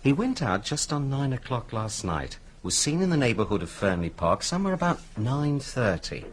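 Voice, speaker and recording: An actor playing a brisk, self-important police inspector in a radio drama, with an English accent and clipped, businesslike delivery. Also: male voice, medium pitch, very animated, a little hiss or hum, close to an everyday voice.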